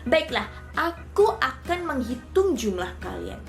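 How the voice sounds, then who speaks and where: A woman's voice: high voice, big pitch swings, a little slow, vocal fry, faint music behind, full character voice. A woman voicing a sly, boastful trickster mouse deer in a children's storybook, sweet-talking with feigned innocence. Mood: falsely kind.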